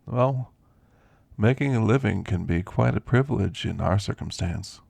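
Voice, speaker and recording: Guy doing a creaky old grandpa werewolf, asmr roleplay, gentle n teasing, super close dry sound. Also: on the low side, no room sound, exaggerated voice. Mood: gentle, friendly. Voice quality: gravelly, creaky. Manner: unhurried, folksy, gently chatty. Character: kindly, frail elderly male werewolf, teasing and grandfatherly. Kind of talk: ASMR roleplay, intimate character monologue.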